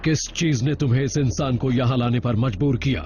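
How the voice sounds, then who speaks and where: A man's voice: mid-range voice, brisk, natural voice. A man playing a strict, by-the-book robot military commander in a cartoon, speaking with stern authority. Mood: stern, disapproving. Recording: dull sound, heavy noise behind.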